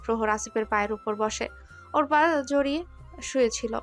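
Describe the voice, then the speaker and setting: A woman's voice: a little high, moderate pace, faint music behind. A woman narrating a scripted romantic story.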